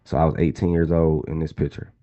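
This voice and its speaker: A man's voice: very deep voice, level tone, fast, warm tone, highs cut off. A man in his twenties from Atlanta, casually teaching a live online trading class and talking to the chat. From Atlanta, Georgia. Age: 20s. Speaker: trading instructor.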